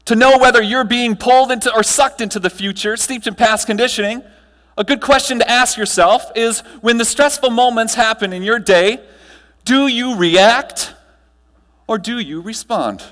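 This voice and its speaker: An American man in his thirties giving a motivational conference keynote, projected and emphatic, with deliberate pauses and rhetorical questions. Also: extremely high pitch, fairly bright tone. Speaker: keynote speaker and trainer. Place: large hotel ballroom stage. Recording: long hall reverb from ballroom PA.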